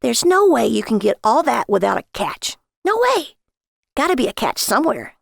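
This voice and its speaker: A woman voicing a sharp-tongued, volatile wife in an audio story, with emphatic, exclamatory delivery. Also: a little high, very animated, moderate pace, gravelly, no echo, studio quality, pitched above the performer's own voice, full character voice. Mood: excited.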